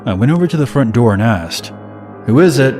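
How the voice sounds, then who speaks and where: A man narrates a first-person horror story from a script. His voice sits at an ordinary male pitch, with music clearly running underneath. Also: big pitch swings, fairly quick, darkish tone.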